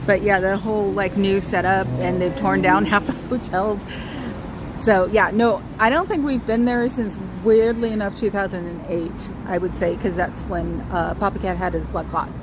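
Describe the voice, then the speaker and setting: A woman's voice: mid-range voice, fairly even intonation, moderate pace. A woman live-streaming outdoors on a city street, talking in a rambling, chatty way and calling out to passers-by while she is losing her voice. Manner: chatty, digressive, calls out to passers-by. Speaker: livestreamer. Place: outdoors on a street in San Francisco. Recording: outdoor street recording with traffic noise.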